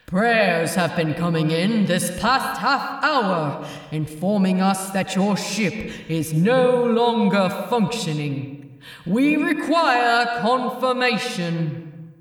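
A woman's voice: medium pitch, animated, slow pace. A woman voicing a brusque, icy seraph who speaks with formal, commanding authority in an audiobook story. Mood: brusque, cold. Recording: echoey hall reverb, far off.

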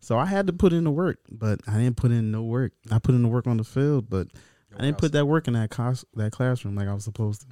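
A man in his thirties co-hosting a podcast, talking in a relaxed, reflective way and swearing freely. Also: medium pitch, quick pace, no echo. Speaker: podcast co-host. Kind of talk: casual chat.

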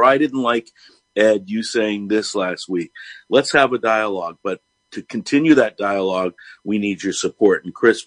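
A man co-hosting a live radio show, speaking reflectively in short, halting phrases without a script. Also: lowish voice, no room sound. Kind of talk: unscripted live radio talk.

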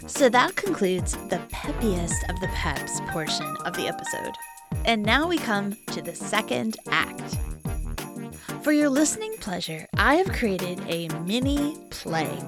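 A woman's voice: medium pitch, vocal fry. A woman hosting a podcast, reading scripted introductions with a playful, theatrical turn of phrase. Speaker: podcast host.

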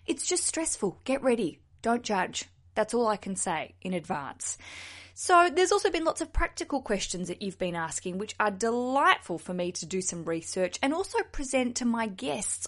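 An Australian woman hosting a podcast alone, chatty, jokey and candid. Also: medium pitch. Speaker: podcast host. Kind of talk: solo podcast chat.

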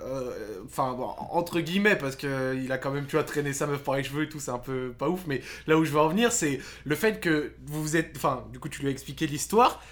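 A man chatting casually with friends, teasing and animated. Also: a little high, a little fast, few pauses. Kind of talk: casual podcast chat.

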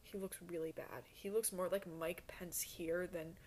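A woman's voice: fairly low pitch, steady tone, a little slow, darkish tone, slight background noise. Blunt young woman podcaster, rambling casually. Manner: self-deprecating, blunt, swears freely. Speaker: podcast host.

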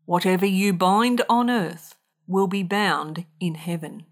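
A woman's voice: on the low side, slow. A woman reading a gospel passage aloud as a scripture reader.

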